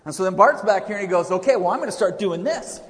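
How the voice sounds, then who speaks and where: A middle-aged man preaching a sermon at a pulpit microphone, loud and conversational, joking with the congregation. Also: high-pitched, lively intonation, quick pace. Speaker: pastor. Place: large church hall. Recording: echoey church hall.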